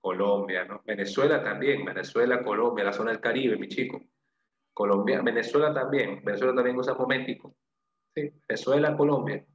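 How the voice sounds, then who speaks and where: A man's voice: medium pitch, a little fast. Echoey lo-fi male teacher. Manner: questioning, repeats answers and confirms them with short praise and tag questions. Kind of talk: interactive exam-prep language class. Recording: echoey room, dull lo-fi sound, faint noise.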